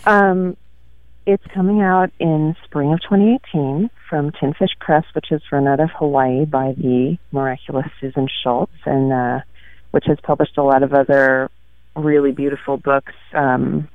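Guy w/ gravelly voice, bit high, thinking out loud w lots of ums, thin phone-line sound, dry. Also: steady tone, no room sound. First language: French. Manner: thoughtful, halting, many fillers. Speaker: guest author. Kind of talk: casual interview chat. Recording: tinny phone-like radio line.

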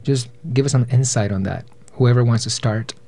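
A man's voice: mid-range voice, moderate pace, dark tone. Young man hosting live radio. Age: 20s. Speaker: radio host, panel moderator. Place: radio studio.

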